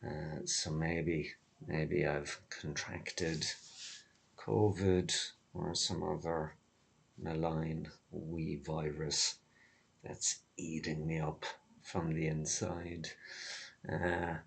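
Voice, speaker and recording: Slow, low, hoarse, gloomy man. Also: level tone. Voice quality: hoarse, breaking voice. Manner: morose, weary, sardonic gloom; halting, with long pauses. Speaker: vlogger. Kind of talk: rambling personal monologue to camera.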